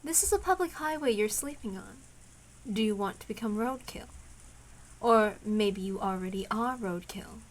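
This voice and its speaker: A woman voicing a poised, whimsical woman from a visual novel, speaking formally and with arch, unhurried politeness. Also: mid-range voice, animated, close to an everyday voice, low-quality recording. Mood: arch, teasing. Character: poised, whimsical woman, coolly teasing a stranger. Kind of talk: visual novel read aloud.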